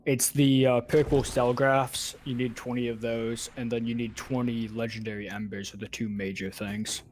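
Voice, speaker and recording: A man's voice: medium pitch, level tone, normal speed, darkish tone, a little hiss or hum. A man chatting casually with fellow players over gaming voice chat. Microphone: online voice chat.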